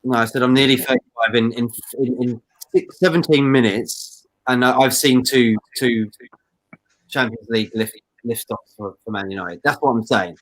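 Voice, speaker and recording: A man's voice: mid-range voice, moderate pace, poor audio. A man in his mid-thirties, chatting casually in a football banter show, rambling and laughing often. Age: 30s.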